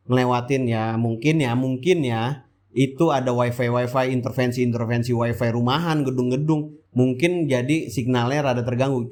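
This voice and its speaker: A man's voice: medium pitch, a little mellow. Chatty man with a Jakarta-area Indonesian accent. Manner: colloquial, rambling, asks and answers own questions. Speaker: motovlog host. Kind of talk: casual vlog voice-over, chatty and informal.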